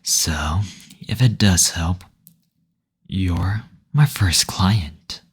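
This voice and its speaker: A man playing a teasing, gentle male demon in an intimate roleplay audio, speaking softly and breathily, often near a whisper, in a tender, unhurried manner. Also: low voice, husky, full character voice. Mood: warm, honored.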